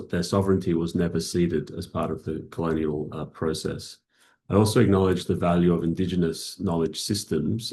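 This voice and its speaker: Middle-aged Australian man, very low voice, measured keynote speaker, lots of fillers, on a video call. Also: warm tone, a little hiss or hum. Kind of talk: formal conference keynote.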